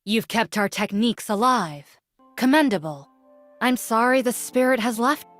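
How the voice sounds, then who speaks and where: An actress playing a stern, ancient woman warrior in a video game, speaking in a formal, measured and solemn way. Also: fairly high pitch, animated, a bit bright, dry recording, clean studio sound, soft background music. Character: stern, ancient female spirit-realm warrior, solemn and judging. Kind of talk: scripted game character voice lines.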